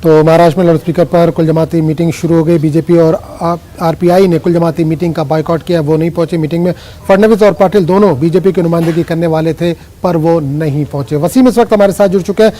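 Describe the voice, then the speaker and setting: A male news anchor with a high-set, mellow voice. He reads the news and puts questions in a formal, measured broadcast manner. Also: fairly even intonation.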